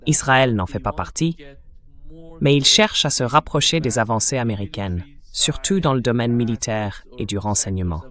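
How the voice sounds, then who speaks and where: A synthetic male voice reading an interviewer's translated questions in a measured way. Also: mid-range voice, animated, a bit bright.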